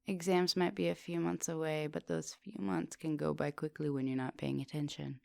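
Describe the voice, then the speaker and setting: A woman playing a coaxing, soft-dominant vampire professor in an intimate ASMR roleplay, speaking with polite, formal phrasing. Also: sits low, level tone, normal speed, creaky, dry recording. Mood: brisk, stern. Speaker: teacher.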